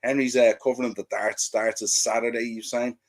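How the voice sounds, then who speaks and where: A man's voice: mid-range voice, flat delivery, a little fast. A man co-hosting a casual sports podcast, chatty and easygoing, often stumbling and restarting his sentences. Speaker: podcast co-host. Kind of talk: casual chat.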